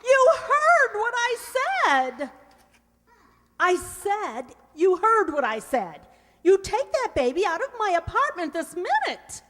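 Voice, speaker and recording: A woman's voice: very high-pitched, big pitch swings, takes it slowly, a bit bright, heavily acted. A woman acting a feisty, wisecracking young working woman in a radio comedy play, brash, slangy and emphatic. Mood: indignant. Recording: echoey hall.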